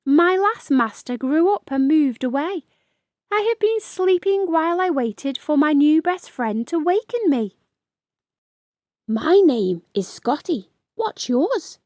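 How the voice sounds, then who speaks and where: Woman voicing an eager, high-pitched talking toy dog. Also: takes it slowly, no echo, clean studio sound, full character voice. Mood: eager, glad. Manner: excited, earnest. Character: friendly, eager male talking toy dog come to life. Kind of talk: children's storybook character voice.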